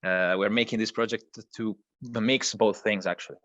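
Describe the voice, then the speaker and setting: A man's voice: lowish voice, steady tone, moderate pace, fairly bright tone, dry recording. Hesitant Italian man with muffled sound. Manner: hesitant, many fillers and restarts. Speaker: crypto project co-founder guest. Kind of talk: online video interview.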